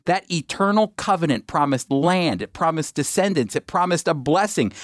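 A man's voice: sits high, no echo, studio quality. A man teaching the Bible on a radio program, emphatic, with rhetorical asides to his listeners.

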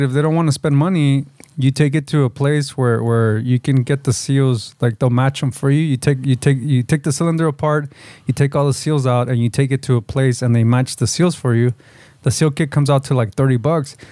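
A man's voice: mid-range voice, dark tone, no echo. Casual man, very dry sound. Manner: casual, profane, mixes Spanish and English. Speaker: podcast co-host. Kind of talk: casual chat.

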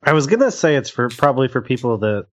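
A man's voice: medium pitch, very animated, fast. A man co-hosting a podcast in casual chat, making teasing, dry remarks. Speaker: podcast co-host.

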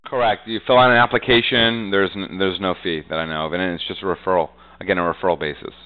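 A man's voice: low-pitched, fairly even intonation, fast talker, pushed and bright, smooth, poor audio. A man giving casual business interview answers as a real estate agent, conversational and peppering his talk with "you know".

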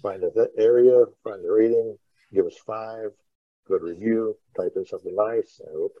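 A man hosting a podcast in a halting, offhand, casual chat. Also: on the low side, no room sound, narrow, tinny audio. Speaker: podcast host.

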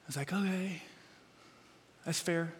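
A middle-aged pastor with a loud voice preaching, animated and self-deprecating, with sudden loud bursts as he tells stories. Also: on the high side, a little slow, slightly rough. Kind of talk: sermon. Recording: long hall reverb.

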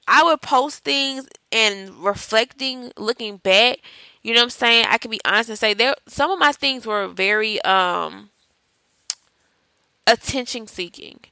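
Woman podcast host, bright, gravelly voice, chatty and encouraging, dry close mic. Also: a little high, unhurried, no echo. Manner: chatty and encouraging, addresses listeners as y'all and child. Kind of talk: casual faith-based podcast talk.

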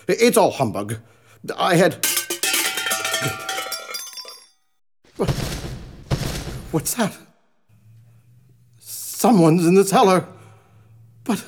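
A man's voice: a little high, a little mellow, a little hiss or hum. An actor playing a bitter, miserly old businessman in a radio drama, scornful and curt. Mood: frightened, disbelieving. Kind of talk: family radio drama acting.

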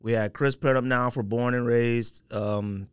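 A man's voice: mid-range voice, steady tone, measured pace, smooth, no room sound, studio quality. An American man hosting a casual podcast chat, talking in slang with exclamations and rhetorical questions. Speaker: podcast host.

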